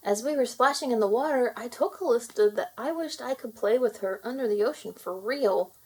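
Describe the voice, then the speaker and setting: A woman voicing a sweet, excitable young mermaid girl in a storybook, speaking in an earnest, emotive way. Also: a little high, fairly quick, slightly rough, pitched above the performer's own voice. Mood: upset, earnest.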